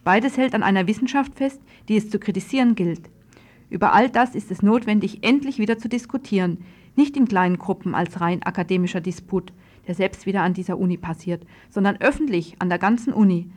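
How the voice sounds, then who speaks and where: A woman's voice: medium pitch, fairly quick, a little mellow. A woman radio presenter reading a scripted political news report.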